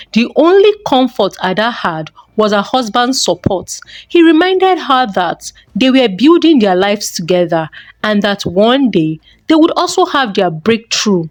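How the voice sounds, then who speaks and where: A woman's voice: medium pitch, dry recording, clean studio sound. A woman reading a scripted folktale as a storyteller.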